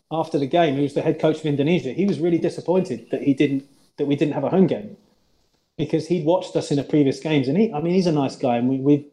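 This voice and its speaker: A man with a mellow, warm voice that sits a little high for a man. He talks earnestly and haltingly, restarting sentences as he looks back on his coaching days. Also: rough recording. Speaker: former head coach. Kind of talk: reflective casual chat.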